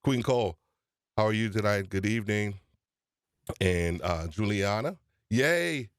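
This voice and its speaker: Man with a New York accent, lower pitch, energetic live-stream host, dry close sound. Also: a little slow, smooth, dry recording. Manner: energetic, greets chat viewers by name. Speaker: podcast livestream host. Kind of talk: casual live-stream chat.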